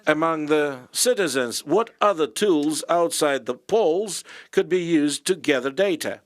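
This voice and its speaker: A man's voice: a little high, expressive, unhurried. A man moderating a session, putting a question to the presenter in a polite, formal way.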